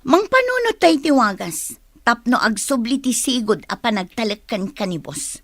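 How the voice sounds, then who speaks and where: A woman's voice: a little high, big pitch swings, moderate pace, dry recording, clean studio sound. An actress playing a greedy, scheming woman in a radio drama, pushy and insistent.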